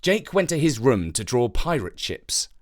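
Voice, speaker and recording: A children's story narrator. The voice sits at a medium pitch but rises and falls dramatically. The phrasing is expressive and deliberate.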